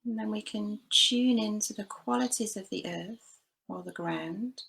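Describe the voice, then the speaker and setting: A woman leading a guided body scan meditation, giving gentle, unhurried instructions with long pauses between phrases. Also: medium pitch, creaky, poor audio. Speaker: meditation teacher.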